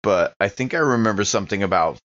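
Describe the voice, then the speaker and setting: A man's voice: on the low side, expressive, quick pace, fairly bright tone. A man chatting casually in character as a player in a tabletop role-playing game. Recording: slightly dull, band-limited audio.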